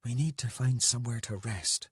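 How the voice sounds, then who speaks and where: A man's voice: mid-range voice, moderate pace, breathy edge, no room sound, studio quality, voice pushed up. A man voicing a fierce, protective woman in a fantasy audiobook, intense and earnest. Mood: urgent.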